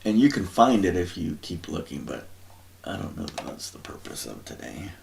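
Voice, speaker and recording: A man talks through scripture in a slow, rambling way, often stopping to search for the right word. His voice sits very low with a gravelly rasp. A faint hiss runs under the recording. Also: big pitch swings. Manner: rambling, searching for words, many fillers. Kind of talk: informal religious teaching, thinking aloud.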